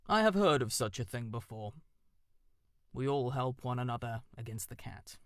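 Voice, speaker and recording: A man voicing a stern, formal male owl with a deep, sonorous voice, speaking slowly in a storybook reading. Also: expressive, no room sound, studio quality, natural voice. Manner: formal, slow and measured.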